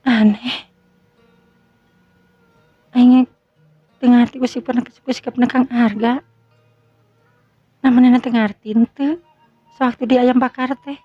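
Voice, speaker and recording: A man with a Sundanese accent voicing a young female student in a soft falsetto, in a storytelling recording. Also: fairly even intonation, slow, pauses often, dry recording, full character voice. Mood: puzzled. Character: young female college student, eager and shy. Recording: dull lo-fi audio with faint music.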